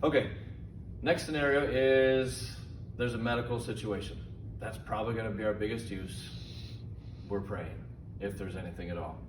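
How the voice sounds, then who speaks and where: A man giving informal safety training instructions in a deliberate, simple, step-by-step manner. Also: lowish voice, steady tone. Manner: slow, deliberate, simple phrasing. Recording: echoey, distant mic, noisy room.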